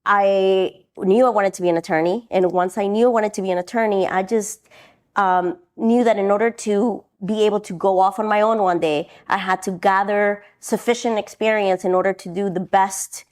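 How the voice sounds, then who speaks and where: A woman's voice: mid-range voice, fairly even intonation, normal speed, darkish tone. A woman in her thirties from Ecuador, a guest attorney in an interview, earnest and thoughtful. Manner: earnest, thoughtful, laughs easily. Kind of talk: casual interview.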